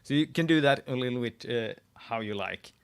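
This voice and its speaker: Creaky-voiced Swedish man, tutorial host. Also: mid-range voice, moderate pace. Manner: thinking aloud while typing, frequent restarts. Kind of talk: live screen-share tutorial.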